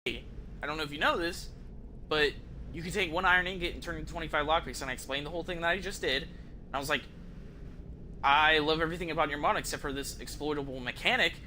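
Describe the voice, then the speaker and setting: A man with a very bright, forceful voice that sits a little high gives casual gameplay commentary. He rambles conversationally and swears freely, with faint noise behind him. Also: quick pace. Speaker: video game streamer.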